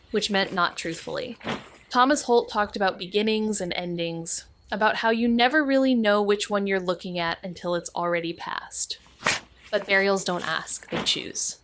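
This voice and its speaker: A woman playing a young, world-weary sleuth who narrates a noir audio drama in a measured, deliberate, somber way, as an observer. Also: medium pitch, noisy background, natural voice.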